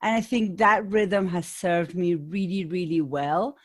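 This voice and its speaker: A woman's voice: lowish voice, fairly even intonation, measured pace. A middle-aged woman with an Argentine accent, a business coach speaking as an interview guest, thoughtful and often restarting her sentences. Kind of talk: conversational interview.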